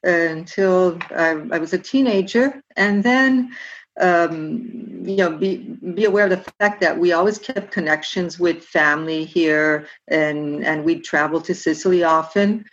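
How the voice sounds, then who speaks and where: Low-voiced woman on a dull remote line. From Southern California. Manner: halting, with frequent restarts and filler words. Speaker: guest historian and guide. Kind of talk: conversational interview answers. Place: remote, home in Palermo.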